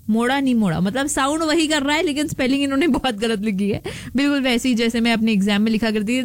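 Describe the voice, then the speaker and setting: A young Indian woman hosting a radio show in a casual, playful, chatty way, slipping English phrases into her talk. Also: on the high side. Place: radio studio.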